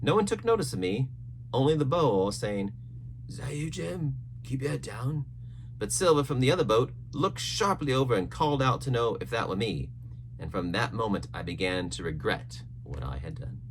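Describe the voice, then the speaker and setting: Low-pitched man narrating an adventure story. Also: very animated, fairly quick, a little hiss or hum, poor audio. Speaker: audiobook narrator. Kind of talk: expressive scripted storybook narration.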